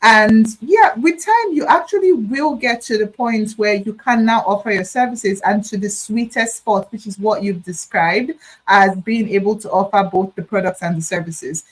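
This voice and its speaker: A woman with a middle-pitched voice hosts a relaxed interview over a video call. She is chatty and affirming, and drops in quick asides as she asks her questions. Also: rough recording. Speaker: host. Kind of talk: casual interview talk.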